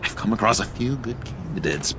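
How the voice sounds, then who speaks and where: A man voicing an excitable, nervous young superfan in a video game, stammering and theatrical, with a manic streak. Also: medium pitch, fairly quick, gravelly, noisy background. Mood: giddy, starstruck. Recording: music behind the voice.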